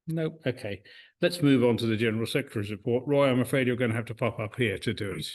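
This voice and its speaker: A man at a middle pitch chairs a club meeting in a meeting room. His voice is loud, and he stops and restarts often with plenty of uhs. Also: fast. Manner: hesitant, many uhs and restarts.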